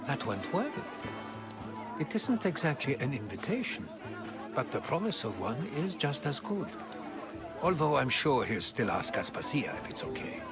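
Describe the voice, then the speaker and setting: An actor playing a worldly, patient advisor in ancient Athens in a video game, wry and given to explaining. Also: male voice, medium pitch, very animated, normal speed, over music, a crowd in the background.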